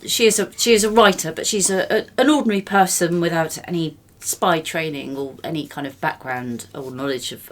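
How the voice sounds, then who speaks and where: A woman interviewer with a slightly low voice. She talks conversationally about books, phrasing tentatively and circling back before she lands on her point. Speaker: podcast interviewer.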